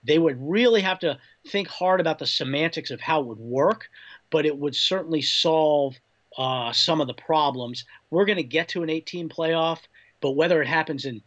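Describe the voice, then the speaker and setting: An American man, a sportswriter guest, talking through college football analysis in a measured, conversational way. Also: on the high side, a bit bright, highs cut off. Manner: measured, reasoning through points.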